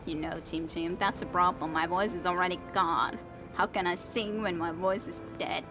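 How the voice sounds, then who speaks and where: Young female streamer, non-native English speaker, voice worn from hours of reading, lowish tone, quiet musing chat, game music playing behind. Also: fairly even intonation, moderate pace. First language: not English. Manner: soft, musing, self-talking. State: tired, voice worn from long reading. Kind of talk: casual gameplay chat with viewers.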